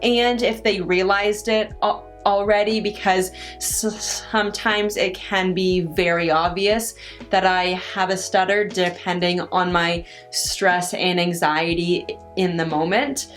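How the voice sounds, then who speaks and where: A woman's voice: lowish voice, little pitch movement, a bit bright. A young woman answering viewer questions in a casual chat, stuttering on first sounds and restarting her sentences often. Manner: halting, many restarts and pauses. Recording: faint guitar music underneath.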